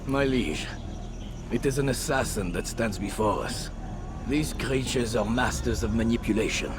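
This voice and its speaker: A man acts a scheming knight lieutenant in a video game. He argues with disdainful persuasion in a mid-range voice, music clearly audible behind. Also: medium pitch, moderate pace, lightly acted. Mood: smug contempt. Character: scheming knight lieutenant smoothly addressing his king. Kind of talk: video game cutscene acting.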